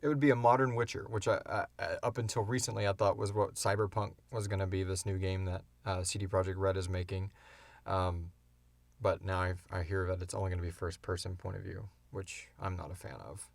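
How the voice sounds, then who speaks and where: Guy with a lowish crackly voice rambling on a podcast q&a, real into it, dry booth sound. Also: level tone, fairly quick, gravelly, no room sound. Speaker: creator and co-host of a fiction podcast. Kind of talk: casual question-and-answer chat. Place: small enclosed recording booth.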